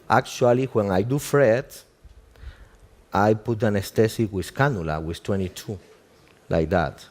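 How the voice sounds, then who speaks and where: A man with a Cuban Spanish accent, a native Spanish speaker, giving a live demonstration as a doctor in halting English and checking in with "okay?" after almost every step. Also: fairly low pitch, a little mellow. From Cuba.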